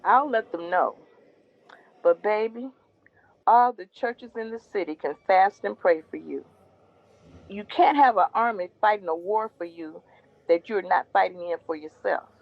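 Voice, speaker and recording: A middle-aged actress playing a loving, churchgoing mother who gently urges her grown son to pray. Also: mid-range voice, measured pace, vocal fry, dry recording. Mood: urging. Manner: gentle, coaxing, preacherly. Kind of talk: audio drama acting. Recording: dry, dull lo-fi sound.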